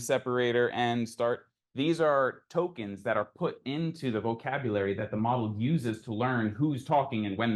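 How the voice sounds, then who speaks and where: Man giving an informal academic lecture. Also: mid-range voice. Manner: conversational, explains with acted-out questions and asides. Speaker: researcher giving a talk.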